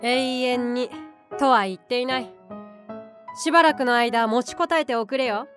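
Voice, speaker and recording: High boyish unicorn guardian, voiced by a woman. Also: moderate pace, music in the background, close to an everyday voice. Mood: calm, grave. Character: small unicorn guardian beast, boyish, calm, knowing mentor. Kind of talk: anime-style voice drama acting.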